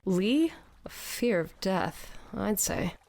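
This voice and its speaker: A woman's voice: mid-range voice, expressive, takes it slowly, gravelly, a little mellow. A woman's mid-range voice for an audio drama. She plays a lovelorn shipboard romantic who covers it with sarcasm, tipping into theatrical self-pity. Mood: sardonic, exasperated. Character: sardonic lovelorn woman aboard a tall ship.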